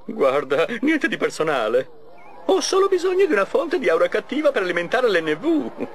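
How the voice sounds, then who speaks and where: A pompous villain scientist for a cartoon game. His voice sits high and moves about with theatrical flourish. He sounds scheming and self-important. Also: wildly swooping pitch, moderate pace. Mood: haughty, menacing. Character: scheming, theatrical villain mad scientist, short middle-aged man. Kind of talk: Italian cartoon dub for a video game.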